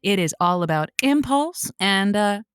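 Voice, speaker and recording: A woman's voice: mid-range voice, lively intonation, unhurried, clear, resonant tone, dry recording, studio quality, close to an everyday voice. A woman playing a sympathetic, folksy host of a comedy call-in advice show, encouraging in manner. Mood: breezy.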